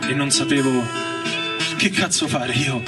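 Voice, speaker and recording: A man's voice: mid-range voice, measured pace. A man giving a theatrical, colloquial first-person reading of a novel, with emphatic repetitions. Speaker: reader and stage performer. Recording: voice distant under loud guitar music. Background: electric guitar and organ music.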